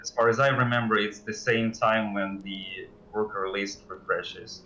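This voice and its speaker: Low-voiced, hesitant man, a game developer. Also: fairly even intonation, pushed and bright, slight background noise, poor audio. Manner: hesitant, halting, polite and self-effacing. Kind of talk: casual chat on a livestream. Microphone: voice call.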